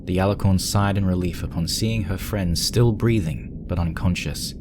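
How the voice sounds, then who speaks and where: A man's voice: sits low, moderate pace, background noise. A man working as narrator, reading a scripted horror story dramatically.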